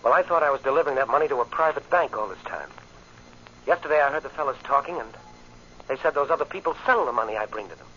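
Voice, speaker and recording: An actor playing an earnest, troubled young American farm man, halting and agitated, in old-time radio drama style. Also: mid-range voice, animated, sharp tone. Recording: muffled, hissy old radio recording.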